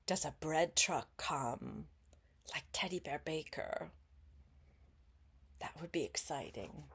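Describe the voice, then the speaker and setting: An older woman reads a children's story aloud as a grandmother would, expressively, pausing to chat and put simple questions to her young listener. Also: low voice, animated, creaky, a bit bright, slight background noise.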